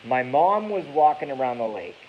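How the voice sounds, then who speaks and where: A man's voice: medium pitch, very animated, normal speed. A man in his thirties from Massachusetts, ranting casually on a live stream in a rambling, profane way that often corrects itself. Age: 30s. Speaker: YouTube streamer. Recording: dull lo-fi with faint hiss.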